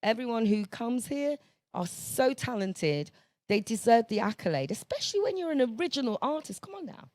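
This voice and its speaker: A woman's voice: fairly high pitch, very animated, normal speed, darkish tone, no room sound. A woman hosting a podcast interview, effusive and encouraging toward her guest. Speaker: podcast host. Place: podcast studio.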